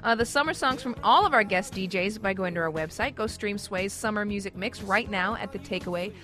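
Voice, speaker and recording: A woman's voice: mid-range voice, a little fast, pushed and bright. A woman presenting an announcement as a radio host. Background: faint music behind the voice.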